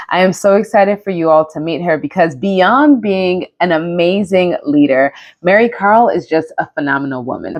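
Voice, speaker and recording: A woman's voice: lowish voice, dull audio. A woman host opening her podcast with an upbeat, personal monologue, laughing at herself now and then.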